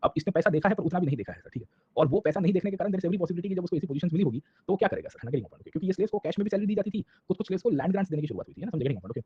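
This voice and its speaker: A man with an Indian accent and a husky, rough-edged voice gives an informal classroom lecture as a history teacher, mixing Hindi with English and often checking in with short tags. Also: high-pitched, animated, very fast. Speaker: history lecturer for exam students. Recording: dull, lo-fi lecture audio.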